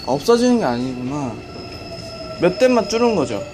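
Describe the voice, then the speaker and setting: A man giving a casual, off-the-cuff running commentary on a station tour, halting and repeating himself. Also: high voice, very animated, over music. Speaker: rail enthusiast filming a video. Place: on a train and in a railway station. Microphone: handheld camera or phone. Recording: loud train and station noise. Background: trains, station ambience.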